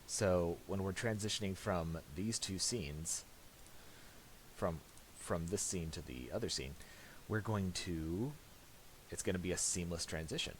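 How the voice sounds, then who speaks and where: A man talking through a software tutorial casually, thinking aloud in a hesitant, piecemeal way. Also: low voice. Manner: hesitant, halting, piecemeal delivery. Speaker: tutorial presenter. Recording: steady hiss and clicking noise.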